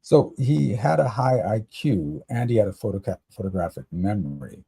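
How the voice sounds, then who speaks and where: A man's voice: on the low side, animated, normal speed, vocal fry, mellow, husky. A man from Canada telling personal stories casually as a podcast guest. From Canada, Toronto area. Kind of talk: casual chat, personal storytelling.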